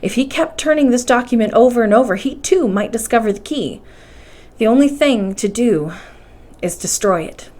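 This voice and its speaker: A woman voicing an anxious, excitable young nephew in a storybook reading, with agitated, emphatic exclamations. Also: a little high, normal speed. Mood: agitated, determined. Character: excitable, anxious young nephew narrating his own adventure.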